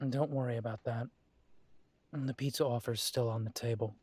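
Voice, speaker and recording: A man voicing a young adult male werewolf, an estranged son who is defensive and firm, then quiet and conciliatory. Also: mid-range voice, steady tone, moderate pace, slightly rough, close to an everyday voice. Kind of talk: audio drama acting.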